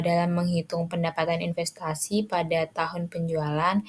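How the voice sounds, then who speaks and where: A young woman giving an explanatory presentation as a student. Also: fairly low pitch. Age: young adult. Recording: clear background noise.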